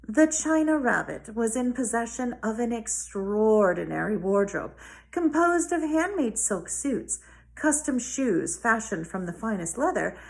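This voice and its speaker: A woman schoolteacher talking and reading aloud to children in an expressive way. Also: fairly high pitch, measured pace, vocal fry. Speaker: elementary school teacher. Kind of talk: casual talk to pupils and storybook read-aloud.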